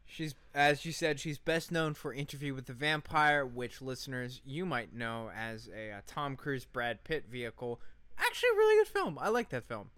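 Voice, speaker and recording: Crisp, bright male podcast storyteller. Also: mid-range voice, sing-song, moderate pace, no room sound. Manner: irreverent, sweary, joking. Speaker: podcast co-host. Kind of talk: casual comedic storytelling.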